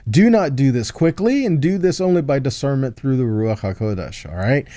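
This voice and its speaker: A man's voice: medium pitch, animated, moderate pace, mellow, no room sound, studio quality. A man giving a conversational Bible teaching, explaining point by point and often checking in with the listener. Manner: explanatory, checks in with "okay?" and "right?".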